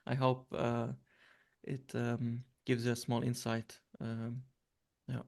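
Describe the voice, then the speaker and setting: Gravelly-voiced man from Syria, hesitant. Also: mid-range voice, completely flat delivery, slow pace, a little mellow. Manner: hesitant, many fillers and restarts. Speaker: interview guest. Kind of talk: reflective interview answers.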